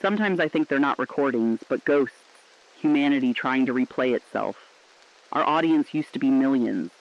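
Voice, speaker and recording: A man's voice: medium pitch, lively intonation, normal speed, muffled recording, lightly acted. A man playing a mournful but defiant last radio broadcaster, speaking solemn, earnest, poetic lines in a scripted drama. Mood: mournful, haunted.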